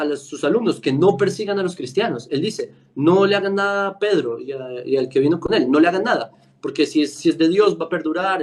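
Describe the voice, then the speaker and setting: A man with a Colombian accent explaining history in an informal debate, emphatic and argumentative, often checking in with short tag questions. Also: a little high.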